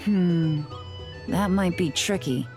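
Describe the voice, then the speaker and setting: An actress playing a shrewd, composed undercover patrol captain in a video game, speaking in a measured, probing way. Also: female voice, lowish voice, over music. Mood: businesslike.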